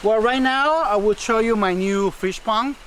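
A man's voice: high voice, measured pace, a bit bright, rough recording. A middle-aged man with a Costa Rican accent and Spanish as his first language, giving a casual farm tour in simple English, often repeating words. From Costa Rica. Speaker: farmer showing his homestead. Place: outdoors in a tropical food forest. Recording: outdoor farm ambience, faint noise.

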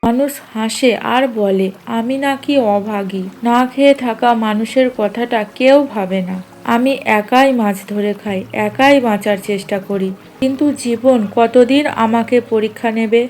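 A woman's voice: a little high, moderate pace, a little mellow, close to an everyday voice. Woman playing a plaintive poor young fisherwoman. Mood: sad, resolute. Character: poor orphaned young fisherwoman, hungry, sorrowful but resilient. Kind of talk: cartoon story voice acting. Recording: clear background noise and ambience.

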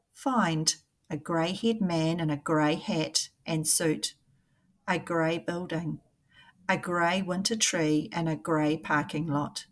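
Slow-paced woman reading to young children. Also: lowish voice. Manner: deliberate, enunciated, questioning phrasing for children. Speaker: primary school teacher reading a picture book aloud. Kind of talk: read-aloud storytelling for young children.

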